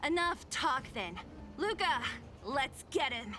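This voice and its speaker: A woman's voice: high-pitched, big pitch swings, very slow, music in the background, full character voice. An actress playing a sardonic young woman companion in a video game, with a mocking, teasing delivery.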